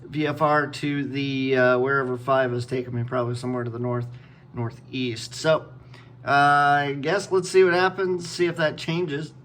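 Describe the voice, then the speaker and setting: A man's voice: medium pitch, little pitch movement, moderate pace, a bit bright. A man giving casual hands-on commentary as a flight simulator guide, rambling and thinking aloud. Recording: clearly noisy room background.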